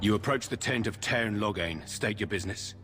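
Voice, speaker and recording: A man's voice: on the low side, fairly quick, music in the background, lightly acted. An actor playing an officious, dismissive male camp guard in a fantasy video game, speaking curtly.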